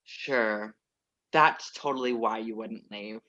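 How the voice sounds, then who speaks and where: A man's voice: fairly low pitch, takes it slowly, pushed and bright. A young man reading the part of a famous, restless young singer in a virtual staged reading, with a wry, teasing delivery. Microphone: video call. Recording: muffled lo-fi video call audio.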